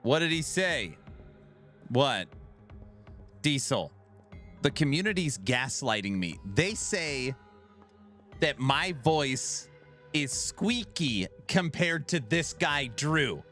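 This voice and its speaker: A man's voice: fairly high pitch, big pitch swings, slow, long pauses, a bit bright, faint music behind. A man streaming live, joking and bantering with his chat in a mock-defensive way. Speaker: streamer reacting to a video.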